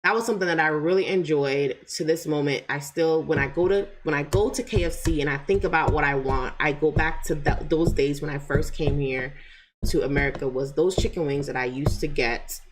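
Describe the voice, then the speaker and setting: A woman's voice: low voice, fairly quick, slight background noise. A woman from Jamaica with a light Jamaican accent, telling viewers her own story in a chatty, wandering way and often checking in with "right?". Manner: chatty, digressive, keeps asking "right?". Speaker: channel host.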